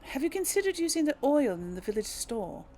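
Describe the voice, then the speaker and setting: A woman's voice: high-pitched, big pitch swings, fairly quick, warm tone, pitched above the performer's own voice. A woman voicing a composed, polite young noblewoman adventurer in role-played fantasy game dialogue.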